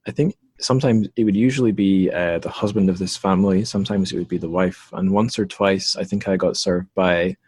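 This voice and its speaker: Man, low voice, podcast host, relaxed chat, dry close sound. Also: a little fast, hardly any pauses, breathy edge, dry recording. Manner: relaxed, chatty, with hedging fillers. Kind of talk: conversational interview.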